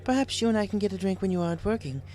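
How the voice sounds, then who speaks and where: A refined lady pony from a storybook, acted out by a man, lifted well above his natural pitch. She speaks in a poised, ladylike way, formal and polite with a gently teasing edge. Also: expressive, rapid-fire, soft background music. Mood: warm, fond.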